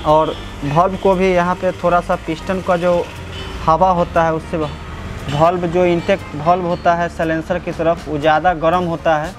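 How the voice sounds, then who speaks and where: A man's voice: on the high side, level tone, normal speed, background noise. A man working as a motorcycle mechanic, who talks the viewer through an engine repair step by step in a workshop. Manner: explanatory, guides the viewer step by step. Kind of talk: workshop repair tutorial, narrating over close-up work.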